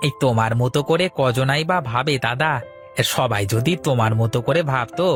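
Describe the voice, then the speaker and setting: A man's voice: mid-range voice, normal speed, faint music behind, natural voice. A man playing a friendly cartoon customer, chummy and full of admiration. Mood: admiring. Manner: chummy, flattering. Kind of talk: cartoon story dialogue.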